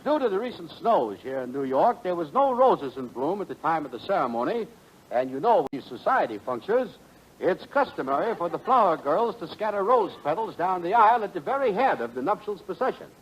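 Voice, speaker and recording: An actor playing a pompous, dim crony in 1940s radio comedy, speaking in elaborate, mangled big words. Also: male voice, high voice, big pitch swings, brisk, slightly rough, heavily acted. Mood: storytelling. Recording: faintly noisy vintage radio recording.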